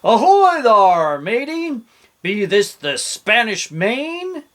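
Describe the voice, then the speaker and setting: A man's voice: sits high, huge pitch swings, takes it slowly, a bit bright, voice pushed up, full character voice. A man voicing a blustery, hearty pirate captain from a children's storybook, bellowing in old-time pirate talk. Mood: hearty, loud.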